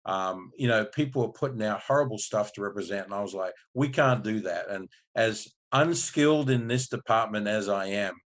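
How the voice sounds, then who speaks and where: A man from Australia in his fifties, a marketing agency owner and guest, telling his story in a relaxed, rambling way with many restarts. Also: lowish voice, moderate pace, hardly any pauses. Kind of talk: casual interview storytelling.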